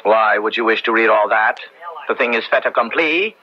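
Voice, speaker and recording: An actor playing a courtly, insistent gentleman in a radio drama, speaking in a polite, formal, old-fashioned manner. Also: on the low side, moderate pace, close to an everyday voice. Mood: cool, evasive. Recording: muffled old radio recording.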